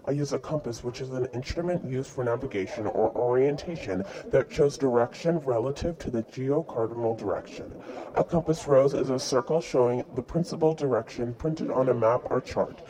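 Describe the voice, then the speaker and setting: A man's voice: medium pitch, normal speed, a crowd in the background, natural voice, rough recording. A man playing a boastful dead explorer in a mock interview, reciting his answers plainly. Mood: lecturing. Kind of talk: school-project mock interview.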